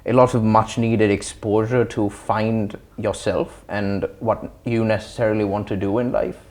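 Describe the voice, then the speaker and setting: A young man from Sri Lanka answering interview questions in a thoughtful, articulate, measured way. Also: fairly low pitch, level tone, darkish tone. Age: young adult. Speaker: interview guest. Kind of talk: reflective interview talk.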